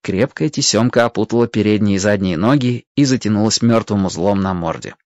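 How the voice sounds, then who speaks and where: A man narrating a dramatized story as an expressive storyteller. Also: lowish voice, a little fast, no echo, muffled recording.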